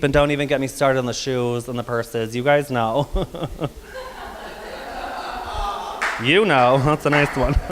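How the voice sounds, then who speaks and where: A man from Texas with a soft, feminine-sounding voice, telling a personal story on stage in a confessional, wry way with light laughs. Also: medium pitch. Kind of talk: live personal storytelling monologue. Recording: long reverb of a performance hall.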